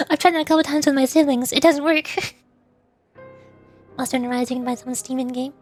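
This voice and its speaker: A woman's voice: high-pitched, steady tone, fairly quick, dry recording, soft background music. A young woman streaming a game, chatting casually and rambling with laughs and restarts.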